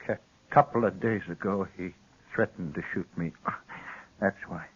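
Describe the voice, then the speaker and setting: A shifty frontier lawyer weakened by a gunshot wound, insistent yet evasive. His voice is low and husky. The sound is a dull vintage radio drama. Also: normal speed. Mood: pained, evasive. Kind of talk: old-time radio western acting. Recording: dull lo-fi 1950s radio recording.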